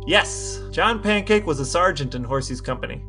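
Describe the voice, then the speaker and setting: Male co-host, voice a touch high, dry and matter-of-fact, casual comic banter. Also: lively intonation, moderate pace, gravelly, music in the background. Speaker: podcast co-host. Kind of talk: casual banter, partly reading texts aloud.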